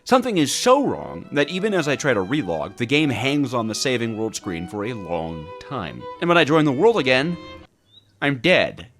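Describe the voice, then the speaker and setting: A man's voice: medium pitch, wildly swooping pitch, normal speed, fairly bright tone, faint music behind. A man narrating a scripted gameplay story with dramatic, comically exasperated delivery. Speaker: gaming video creator.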